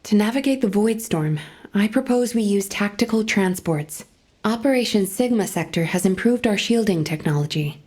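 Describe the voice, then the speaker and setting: A serious female operations officer, voiced by a woman in a video game. She speaks at a medium pitch, composed and formal, with an earnest delivery. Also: expressive, moderate pace, darkish tone, natural voice. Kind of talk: game cutscene dialogue.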